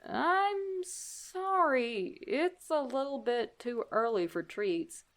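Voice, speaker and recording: Polite, apologetic male homeowner of forty played by a woman, deliberate delivery, horror storybook reading. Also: high-pitched, lively intonation, fairly bright tone, pitched above the performer's own voice, full character voice. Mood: apologetic, friendly. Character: polite forty-year-old family man, apologetic homeowner.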